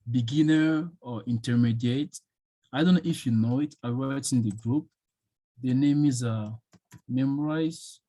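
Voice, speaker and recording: A man whose first language is French, answering questions as a guest speaker in an online session, pausing often with fillers. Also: medium pitch, mellow, no echo. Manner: hesitant, many fillers, thinking aloud. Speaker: guest speaker on studying abroad.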